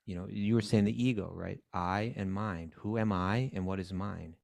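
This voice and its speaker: A man with a low, gravelly voice explains scripture in a measured way, pausing on fillers as he goes. The sound is dry and close to the mic. He talks casually with his co-host. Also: steady tone, a little mellow, dry recording. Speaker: podcast co-host.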